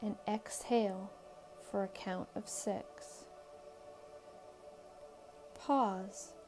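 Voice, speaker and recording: A woman leading a guided meditation in a calm, soothing, measured way, leaving long pauses between short phrases. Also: medium pitch, low-quality recording. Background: background music.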